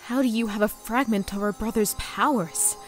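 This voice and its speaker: A woman voicing a wise divine pony sister who explains things in a measured way, in a fan audio drama. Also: fairly high pitch, faint music behind, close to an everyday voice. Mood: grave. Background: musical score.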